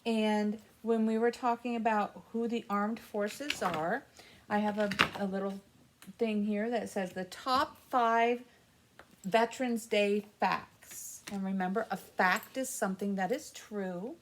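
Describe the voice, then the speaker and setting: Slow-paced American woman hosting children's story time. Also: medium pitch, fairly even intonation, slight background noise. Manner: simple, patient explaining with short phrases. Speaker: library story time host. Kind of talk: children's story time, reading and explaining simply.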